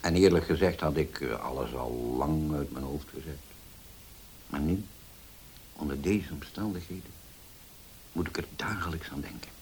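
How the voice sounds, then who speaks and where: An actor in a radio play as a grave, troubled widowed father, speaking haltingly and solemnly with long pauses. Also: extremely low pitch, a little mellow. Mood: bitter. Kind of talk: Dutch radio play acting. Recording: hissy old radio play recording.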